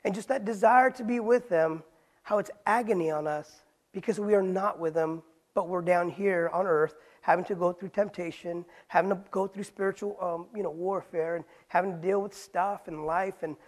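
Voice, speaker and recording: Earnest high-voiced man preaching. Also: moderate pace. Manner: earnest, pleading, shifts between loud emphasis and soft quoted lines. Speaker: pastor. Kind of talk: sermon. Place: church stage, indoors.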